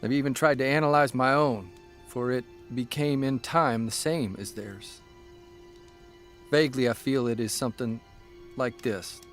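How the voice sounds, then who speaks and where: A man playing a reflective, plainspoken newspaper columnist, giving sombre, measured dramatic narration. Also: medium pitch, lightly acted. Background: faint music.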